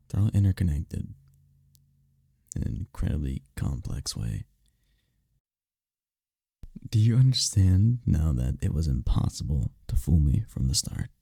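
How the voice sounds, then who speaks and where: A man acts out an incubus lover comforting his partner in an intimate ASMR roleplay. His voice is dark and husky, recorded very dry and close. He is soft-spoken and tender, with a playful, teasing streak and a lot of melodic rise and fall. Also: no echo, exaggerated voice. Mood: gently concerned. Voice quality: breathy, gravelly low voice. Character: tender, teasing male incubus demon boyfriend.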